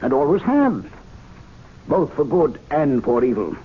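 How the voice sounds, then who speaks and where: An actor playing an authoritative, persuasive club member with an oratorical manner in a radio mystery drama. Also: male voice, fairly high pitch, sing-song, husky, full character voice. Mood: assured, argumentative. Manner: oratorical, deliberate rhetorical phrasing. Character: authoritative male club member, assured, didactic, persuasive theorist. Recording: dull vintage radio recording with hiss.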